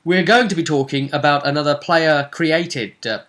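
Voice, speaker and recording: A man's voice: fairly high pitch, moderate pace, a bit bright. A man speaking casually and jokingly as a host and game master, recapping a tabletop role-play session with his co-host. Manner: jokey, bantering with co-host.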